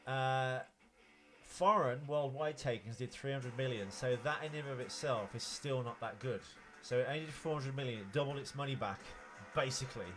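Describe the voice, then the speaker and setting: A man's voice: mid-range voice, normal speed, fairly bright tone. Male co-host, opinionated and explanatory, casual conversational delivery, faint music underneath. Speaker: podcast co-host. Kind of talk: casual film chat.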